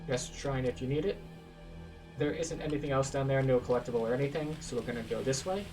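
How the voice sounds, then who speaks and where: A mid-pitched game guide host gives casual step-by-step walkthrough commentary. The mic sounds a little distant, and faint music plays behind the voice. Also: fast.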